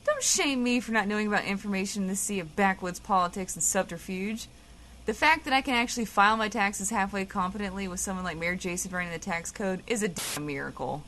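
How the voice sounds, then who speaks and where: A woman's voice: mid-range voice, brisk, fairly bright tone, a little hiss or hum, natural voice. A woman playing a dry, sarcastic, exasperated radio co-host in a comedic audio drama.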